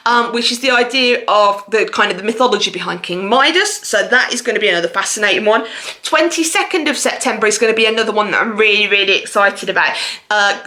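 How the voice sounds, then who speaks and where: A woman's voice: a little high, sharp tone. A woman hosting a chatty book vlog, talking straight to her viewers in an enthusiastic, gushing way. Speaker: book reviewer and video host.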